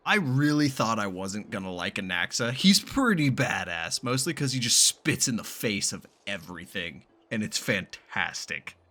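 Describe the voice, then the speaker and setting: A man's voice: on the low side, very animated, moderate pace, hardly any pauses, sharp tone. A man giving casual, informal reaction commentary as he plays a game, with joking, sweary phrasing. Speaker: gaming streamer reacting to a story quest. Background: game music.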